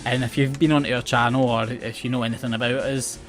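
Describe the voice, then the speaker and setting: Young man, west coast Scottish accent, rambling, self-mocking game commentary, mid-pitched voice, faint game music under it. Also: level tone, fast. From Scotland. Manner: chatty, rambling, self-mocking, often restarts words and laughs. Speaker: gaming channel host.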